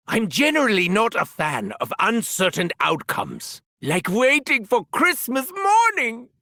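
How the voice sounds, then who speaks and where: Evil german mad scientist for a game, haughty n sadistic, slow, pitch swinging all over. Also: male voice, sharp tone, no echo, studio quality, exaggerated voice. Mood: bored, brooding. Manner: theatrical, swinging from shrieking glee to menacing murmur. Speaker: video game character voice actor. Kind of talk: theatrical video game villain barks.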